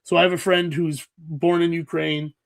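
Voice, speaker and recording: Co-host, male, Rhode Island, under the weather; gravelly, chatty, likes to explain. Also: on the high side, little pitch movement, moderate pace. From Rhode Island, USA. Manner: joking, explains at length. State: sick, coughing. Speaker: podcast co-host. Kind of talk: casual chat.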